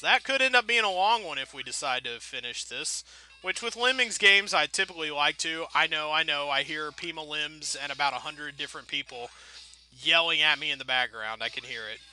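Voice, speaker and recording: Guy doing rambly lets play commentary, really sharp bright voice, wry and self-deprecating, faint game music behind him. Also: fairly high pitch, animated, normal speed, poor audio. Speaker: video game let's player. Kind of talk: casual rambling gameplay commentary.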